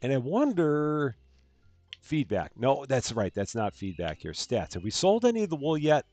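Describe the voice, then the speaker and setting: A man with a calm, soothing voice, casually talking through a game on a live stream and thinking aloud as he plays. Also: mid-range voice, normal speed, soft background music. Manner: soft-spoken, rambling, thinking aloud.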